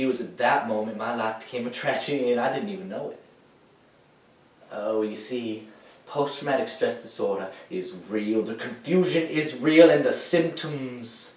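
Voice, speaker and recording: A young man acting a troubled, grieving war veteran in a theatrical monologue, halting and emphatic, swinging between hushed and loud delivery. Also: mid-range voice, natural voice. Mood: bitter, anguished. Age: teenager or young adult. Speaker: high school dramatic interpretation competitor. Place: echoey indoor room, recorded from far off. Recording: echoey room, distant microphone.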